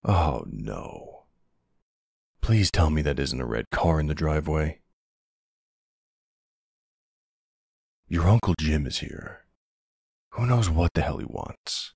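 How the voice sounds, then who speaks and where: A man playing a gruff but tender, protective older man in an intimate ASMR boyfriend roleplay, speaking hesitantly with casual endearments. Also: a bass voice, animated, a little slow, darkish tone, dry recording, studio quality, heavily acted. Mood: worried. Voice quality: gravelly, husky. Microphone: close, dry microphone.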